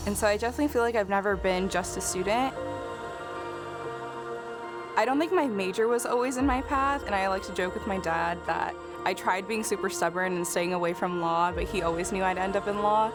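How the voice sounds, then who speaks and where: A woman's voice: mid-range voice, fairly quick. Young woman in earnest interview, music beneath. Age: 20s. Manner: earnest, casual, with light laughs. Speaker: graduating student interviewed for video. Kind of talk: reflective interview talk. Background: background music.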